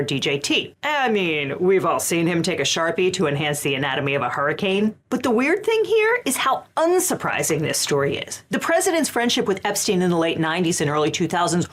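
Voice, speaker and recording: A woman lawyer hosting the show, delivering scripted legal commentary in a wry, sardonic way. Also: lowish voice.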